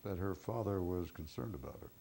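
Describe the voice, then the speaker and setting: A man's voice: low-pitched, expressive, brisk, creaky, warm tone, a little hiss or hum. A man playing an investigator in an audio drama, questioning a witness in a direct, probing way.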